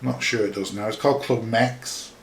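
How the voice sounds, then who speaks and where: A man's voice: lowish voice, fairly even intonation, brisk, gravelly, a little hiss or hum. Man, Yorkshire accent with a hint of Scouse, middle-aged, podcast host, rambling, self-deprecating, roomy echo. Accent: Yorkshire English with a slight Liverpool tinge. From Yorkshire, England. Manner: chatty, self-deprecating, many fillers and restarts. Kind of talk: casual rambling talk over a screen-shared map. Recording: echoey room.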